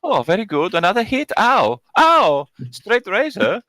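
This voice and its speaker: A man's voice: high-pitched, very animated, pushed and bright, dry recording, studio quality, heavily acted. A man voicing a cheerful, polite male kobold who stays unbothered while getting hurt. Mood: cheerfully polite. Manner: prim, formal, unhurried, sing-song.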